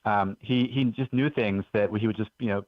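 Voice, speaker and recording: A man's voice: lowish voice, fairly even intonation, normal speed, no room sound. A man in his forties, from Belgium, telling stories as a guest author in an earnest, enthusiastic way on a radio interview. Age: 40s. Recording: dull lo-fi radio audio.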